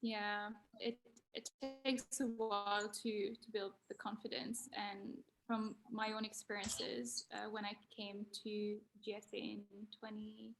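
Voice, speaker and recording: Gravelly young woman, non-native English. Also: mid-range voice, level tone, unhurried, low-quality recording. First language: not English. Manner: hesitant, candid, self-deprecating. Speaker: design graduate panelist. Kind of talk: reflective panel talk.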